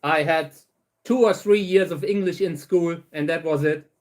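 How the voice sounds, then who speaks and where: A man hosts a relaxed livestream and chats with his viewers. His voice sits fairly high for a man. He rambles along at an easy pace, often restarting words. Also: moderate pace. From Germany. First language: German. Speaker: host of a livestream channel. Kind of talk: casual livestream chat, answering viewers.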